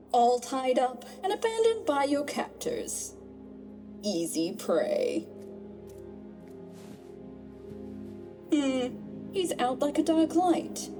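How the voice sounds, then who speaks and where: A woman voicing a gleefully sadistic genie villainess in an audio drama, with a theatrical, singsong, taunting manner. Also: sits high. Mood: mocking, sadistic. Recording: music under the voice. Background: music.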